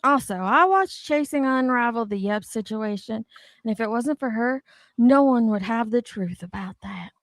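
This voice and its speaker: Blunt, higher-voiced woman, dry close sound. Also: lively intonation, normal speed, no room sound. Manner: blunt, gossipy, swears freely. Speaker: co-host reading out and reacting to online comments. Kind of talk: casual chat with dramatic read-outs.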